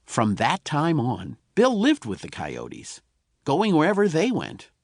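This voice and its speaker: A man narrating a children's tall tale as an audiobook, in an expressive, folksy storytelling manner. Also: a little high, slow pace, dry recording, clean studio sound.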